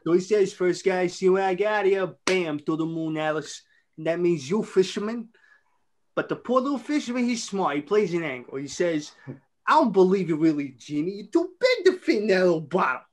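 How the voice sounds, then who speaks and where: A woman's voice: lowish voice, lively intonation, moderate pace, a little mellow. A young woman reading a screenplay story aloud on a video call.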